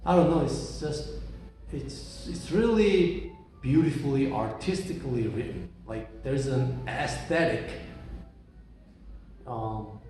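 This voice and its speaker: A man's voice: mid-range voice, slow pace, mellow, faint music behind. A young man whose first language is Korean, rambling casually with many restarts and fillers. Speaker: reader talking about books. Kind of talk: casual monologue. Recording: very echoey room, voice from far off.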